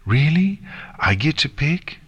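A man voicing an eager, excitable young filly pony girl in a storybook reading. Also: fairly high pitch, takes it slowly, slightly rough, lo-fi sound, voice pushed up, heavily acted. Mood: excited. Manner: eager, childlike.

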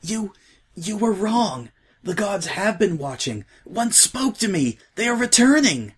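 A man's voice: high voice, sing-song, measured pace, voice pushed up. A man voicing a frail fervent changeling zealot. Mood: fervent pleading. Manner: pleading, fervent, breathless. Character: frail starving male changeling, fervent devotee.

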